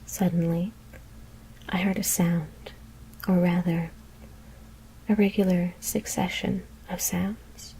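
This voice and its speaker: A woman whispers a scary story in a hushed, deliberate ASMR narration. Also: fairly low pitch, steady tone, a little hiss or hum, poor audio.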